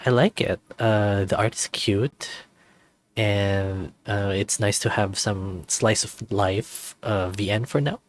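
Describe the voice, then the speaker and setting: A man's voice: lowish voice, no room sound. A man narrating a visual novel and chatting casually as the host of a read-aloud channel.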